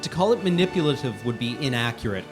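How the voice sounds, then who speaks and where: A man reads a written review aloud with emphatic exasperation. His pitch moves around a lot, swinging with each point. Music plays behind his voice. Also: sing-song, fast talker. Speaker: guest reader on a charity livestream. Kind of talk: dramatic reading of a written film review.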